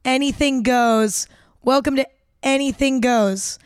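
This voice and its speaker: A talkative young woman on the edge of nineteen, rambling and self-deprecating as she hosts her own podcast. Her slightly high voice is smooth and clear despite a hoarse, cracking edge. The recording is dry and close. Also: takes it slowly, no room sound. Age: late teens. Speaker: podcast host. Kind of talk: casual solo podcast chat.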